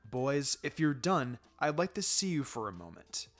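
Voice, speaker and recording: A man voicing a calm, wry ninja teacher in a story reading, measured, firm but encouraging. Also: medium pitch, pitched below the performer's own voice. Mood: firm, composed. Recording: music behind the voice.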